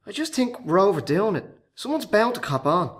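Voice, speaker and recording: A man's voice: high-pitched, sing-song, fairly quick. An actor playing a reluctant, worried Irish workman and hesitant accomplice in a radio play. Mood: uneasy, reluctant. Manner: doubtful, worried.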